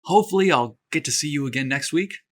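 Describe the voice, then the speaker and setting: A man voicing a nervous, eager young male golf shop clerk who gets flustered and excitable. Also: fast talker. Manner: flustered, excitable, voice jumping high.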